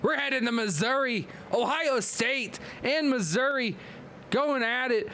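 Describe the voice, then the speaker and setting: Male stream host, high voice, excitable play-by-play caller, hoarse and congested. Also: slight background noise. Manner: excitable, hyped play-by-play with quick asides to chat. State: losing his voice, nose clogged. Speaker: league commentator and stream host. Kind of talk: live-streamed play-by-play commentary.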